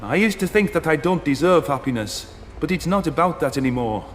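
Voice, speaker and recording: A man's voice: a little high, expressive, a little fast, slight background noise. A man narrating a dramatic first-person science fiction story as an audiobook.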